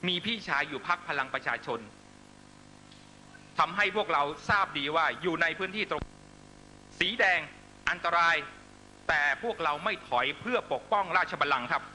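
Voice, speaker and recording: A middle-aged man giving an impassioned political rally speech in emphatic, short measured phrases into a stage microphone. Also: a little high, expressive, bright, forceful tone. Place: large night-time outdoor rally stage. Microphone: stand microphone on stage. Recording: noisy live rally public-address broadcast with hum.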